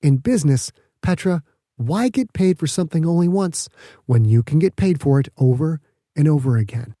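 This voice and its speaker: A man playing a smug, calculating woman governor in an audiobook, speaking in a measured, pointed, condescending way. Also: a little high, lively intonation, mellow, no echo, studio quality, lightly acted.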